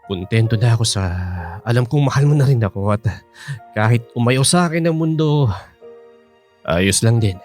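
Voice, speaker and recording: A man acting in a radio drama as an earnest, tender older father in love, speaking haltingly. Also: medium pitch, animated, a little mellow, faint music behind, natural voice. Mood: flustered, devoted.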